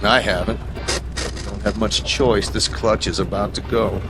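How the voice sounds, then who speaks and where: An actor playing a worried, resigned husband in a radio drama, speaking in a dry conversational way. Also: male voice, on the low side, fairly even intonation, unhurried, noisy background. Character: worried, resigned husband shopping for a used car. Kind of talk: naturalistic radio drama acting.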